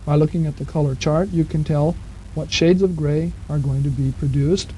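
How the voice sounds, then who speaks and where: A man with a very mellow, dark voice pitched a little high narrates instructions step by step. He is plain-spoken and patient as he explains. The sound is that of a muffled 1970s cassette tape with faint hiss. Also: fairly even intonation, normal speed. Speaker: instructor narrating a program manual.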